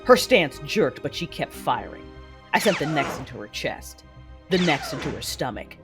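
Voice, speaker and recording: A woman's voice: low voice, sing-song, normal speed. An actress playing a vengeful, anguished Sith warrior, narrating her own tale in an intense, brooding, theatrical manner for an audio drama. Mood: cold fury. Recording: loud music behind the voice. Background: loud dramatic music.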